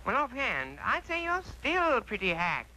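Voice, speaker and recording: A man's voice: very high voice, wildly swooping pitch, a little slow, bright, forceful tone, full character voice. A man voicing a naive, hapless, boyish fellow in a 1960s cartoon, with a prim, mild, apologetic manner. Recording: noisy old cartoon soundtrack.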